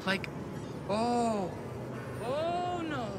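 An actress playing a nervous, rambling young ally in a video game, babbling and halting. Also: female voice, big pitch swings, heavily acted. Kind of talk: video game character acting. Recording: loud ambient machinery rumble behind.

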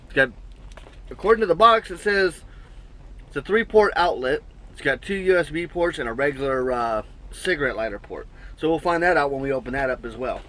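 A man's voice: fairly high pitch, animated, a little fast, sharp tone, slight background noise, poor audio. A man in his thirties, a trucker, casually unboxing and reviewing gear in his truck cab, rambling and thinking aloud with lots of fillers, recorded on an action camera. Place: small truck sleeper cab, indoors. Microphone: action camera on the cab wall, built-in mic.

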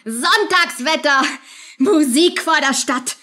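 A carefree soldier comrade in a radio play, played by a woman. The voice sits high and drawls in a singsong, dismissive way. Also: slow, bright, forceful tone, exaggerated voice. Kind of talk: dramatic radio play acting.

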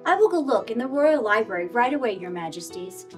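A woman's voice: a little high, very animated, brisk. A middle-aged woman playing an earnest royal scribe and storyteller in children's theater, reading in a storybook manner. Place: indoor costumed stage set, camera filming. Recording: music under the voice.

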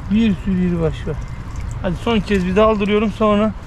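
A man's voice: high-pitched, a little fast, rough recording. A man in his thirties hosting a fishing vlog, chatting playfully with his son while catching creatures by a stream. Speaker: fishing channel host. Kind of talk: casual outdoor vlog talk to camera. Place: outdoors by a park stream. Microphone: action camera on the body. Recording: outdoor camera audio with steady background noise.

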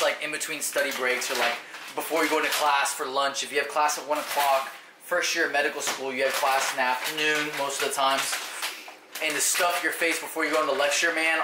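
Young male med-student vlogger, chatty and joking, slightly high voice, echoey kitchen on camera mic. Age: young adult. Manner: chatty, joking, heavy on "like" fillers. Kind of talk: casual cooking vlog chat. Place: small home kitchen, indoors. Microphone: handheld camera mic. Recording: echoey kitchen with background noise.

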